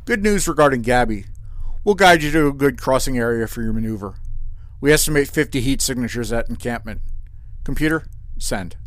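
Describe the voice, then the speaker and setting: A man's voice: medium pitch, lively intonation, fairly quick, dry recording, lightly acted. Man as a wry starship captain, dry sound. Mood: businesslike. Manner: casual, matter-of-fact, dry. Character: restless, wry male starship captain itching for action. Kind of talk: scripted sci-fi audio drama.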